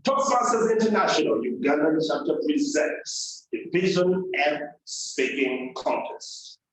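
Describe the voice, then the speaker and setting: A man's voice: on the high side, very animated, fairly bright tone, a little hiss or hum. Man putting on a grandiose, echoey movie trailer announcer. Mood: grand, theatrical. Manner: grandiose, drawn-out, theatrical. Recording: very echoey room, distant microphone.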